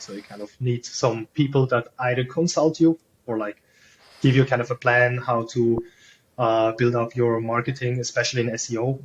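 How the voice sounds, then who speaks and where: A man's voice: mid-range voice, a little hiss or hum, low-quality recording. A man from Switzerland with a Swiss German accent, a podcast guest chatting casually and rambling with lots of fillers. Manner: rambling, many "like" and "you know" fillers. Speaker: podcast guest, SEO freelancer.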